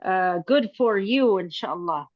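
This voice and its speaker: A woman's voice: mid-range voice, lively intonation, measured pace, no echo. A woman hosting an online talk in a casual conversational way over lo-fi call audio. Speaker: host.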